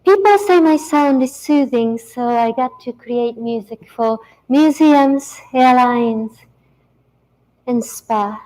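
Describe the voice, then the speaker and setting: Woman, japanese accent, high voice, unhurried, calm measured talk. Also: low-quality recording. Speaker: musician giving a talk. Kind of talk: prepared talk.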